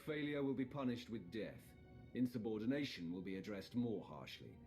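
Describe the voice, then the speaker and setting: An actor playing a stern, imperious Sith lord who speaks in threats and commands in a cinematic video game. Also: male voice, on the low side, very animated, normal speed, darkish tone, soft background music. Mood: threatening. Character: menacing, commanding male Sith lord demanding obedience.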